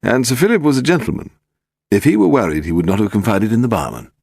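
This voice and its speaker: A narrator plays a prim British club secretary in a mystery audiobook, stuffy and reproving. The voice is raised, with fussy, swooping pitch. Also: male voice, big pitch swings, fast, creaky, a little mellow, breathy edge, no room sound, clean studio sound, exaggerated voice. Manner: pompous, prim. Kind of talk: audiobook character dialogue.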